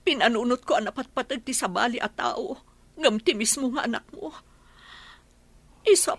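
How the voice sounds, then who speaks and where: Bright, husky, high-voiced emotional Ilocano wife acted by a woman. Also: no room sound, exaggerated voice. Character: hurt, forgiving Filipino village wife and mother Lovely. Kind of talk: Ilocano radio drama acting. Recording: soft music bed behind.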